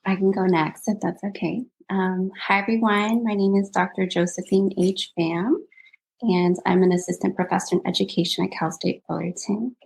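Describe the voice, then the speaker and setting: A woman from the Bay Area, an assistant professor and guest, introducing herself in a steady, proud way. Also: medium pitch, moderate pace, gravelly, breathy edge, poor audio. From California Bay Area.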